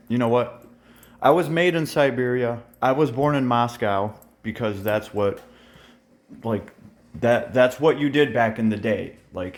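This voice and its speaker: A man in his thirties, born in Russia and raised elsewhere since childhood, talks straight to his audience in a casual vlog. He is blunt and heated, swears freely and fills his pauses with ums and uhs. His voice sits at an ordinary middle pitch. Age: 30s. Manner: blunt, heated and profane, with many fillers. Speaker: YouTuber.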